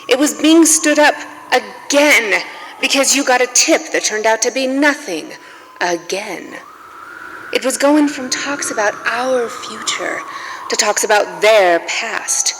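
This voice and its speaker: Woman acting a slow-spoken, bitter old flame, echoing. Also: a little high, sharp tone, full character voice. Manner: deliberate, melodramatic, reproachful. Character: bitter, wounded female former lover of a detective. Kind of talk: noir radio drama acting. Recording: long hall reverb, faint background noise.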